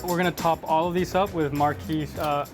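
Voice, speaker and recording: A woman's voice: deep voice, fairly even intonation, a little fast, loud music behind the voice. A woman bartender who explains a cocktail recipe in an easygoing, instructive way.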